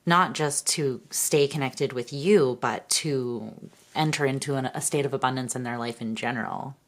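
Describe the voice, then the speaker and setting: Very low-voiced hoarse woman reading tarot. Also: normal speed. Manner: keeps clearing her throat, meandering with fillers. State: hoarse, losing her voice. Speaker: tarot reader. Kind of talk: casual tarot reading to viewers.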